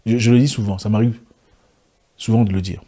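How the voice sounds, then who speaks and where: Man ranting into his camera, french isnt his first language, husky, lots of questions and restarts. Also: on the low side, fairly even intonation, brisk, dark tone. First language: not French; an African language. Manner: emphatic, rhetorical questions, frequent restarts. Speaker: online video commentator. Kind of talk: impassioned monologue to camera.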